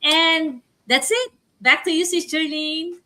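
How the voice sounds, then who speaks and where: A woman's voice: extremely high pitch, slow, bright, forceful tone. A middle-aged woman co-hosting a livestream, talking in a loose, giggly way. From Philippines. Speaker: livestream co-host. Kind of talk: casual livestream talk.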